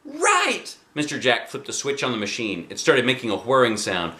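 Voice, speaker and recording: A man narrating a children's book aloud with an expressive, dramatic delivery. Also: mid-range voice, fairly quick. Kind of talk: animated children's storybook reading. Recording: echoey room.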